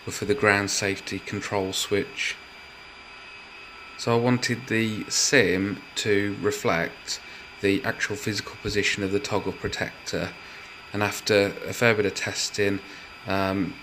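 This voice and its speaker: A man giving a measured, methodical walkthrough of a hobby build, explaining it step by step. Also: fairly low pitch, a bit bright, a little hiss or hum, poor audio. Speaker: hobbyist builder presenting his project.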